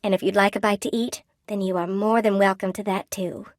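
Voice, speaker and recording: An actress voicing a friendly, lonely weaver spider woman in a video game, with a gentle, quaint, expressive and chatty manner. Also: medium pitch, brisk, no room sound, studio quality, lightly acted. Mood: wary, polite. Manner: gentle, quaint, folksy, expressive, self-effacing. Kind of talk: video game character acting.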